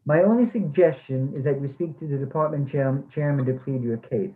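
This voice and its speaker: An actor playing a regretful, aggrieved middle-aged former English professor, speaking in measured, formal phrases. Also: male voice, mid-range voice, close to an everyday voice. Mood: apologetic, regretful. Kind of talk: play reading over the phone and in dialogue. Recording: thin, tinny phone-like sound.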